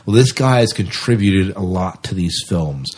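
A man's voice: sits low, animated, normal speed, darkish tone, breathy edge. A man hosting a podcast in a casual, conversational interview style.

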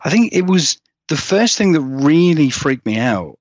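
A man's voice: fairly high pitch, very animated, measured pace, no echo, lo-fi sound. A British man chatting casually as an interview guest, rambling and acting out remarks as he goes. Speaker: guest horror writer.

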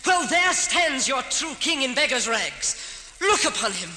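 A man's voice: very high-pitched, big pitch swings, measured pace, bright, forceful tone, full character voice. An actor playing an earnest, guilt-ridden young pauper mistaken for king, in formal old-time radio drama style. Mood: urgent, pleading. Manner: formal, archaic, impassioned delivery. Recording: noisy old radio recording, long reverb.

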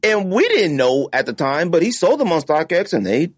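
A man's voice: fairly high pitch, big pitch swings, normal speed, no echo, clean studio sound. A man hosting a podcast in casual chat, rambling and peppered with filler phrases. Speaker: podcast host.

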